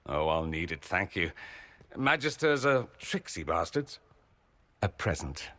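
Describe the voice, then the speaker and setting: A sardonic noble mage in a fantasy game, with a low, swooping voice. He is arch, theatrical and vain, with warmth under the flippancy. The sound is dry and close. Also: big pitch swings, measured pace, no room sound, exaggerated voice. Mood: wry, fond. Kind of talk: dramatic video game dialogue.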